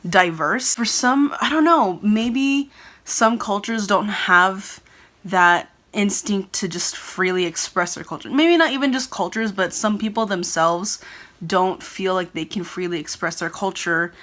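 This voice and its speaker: A woman's voice: medium pitch, unhurried, a bit bright. A young woman from California, raised speaking Tagalog first, giving earnest and rambling commentary to her vlog camera. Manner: earnest, rambling, often laughing and restarting. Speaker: vlogger. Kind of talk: casual talking-to-camera commentary.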